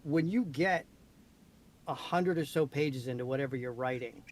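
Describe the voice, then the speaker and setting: A man's voice: medium pitch, moderate pace. A man from Maine hosting a casual interview podcast, telling anecdotes and asking questions in an informal, loose way. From Maine, United States. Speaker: podcast host.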